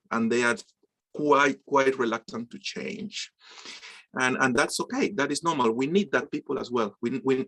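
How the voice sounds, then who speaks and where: Halting man leading an online class. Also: mid-range voice, fairly bright tone. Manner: halting, repeats words often. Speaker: course instructor.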